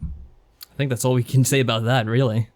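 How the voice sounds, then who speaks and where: A man's voice: medium pitch, level tone, normal speed, darkish tone. A man co-hosting a podcast, talking through his review in a casual, conversational style. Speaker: podcast co-host.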